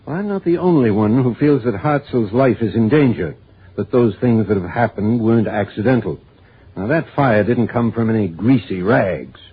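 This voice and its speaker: A man's voice: fairly low pitch, animated, normal speed, husky. An American actor playing a crusty, suspicious, opinionated man in a 1950s radio drama, talking in a folksy, emphatic grumble. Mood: suspicious, grumbling. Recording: thin, phone-like old radio recording.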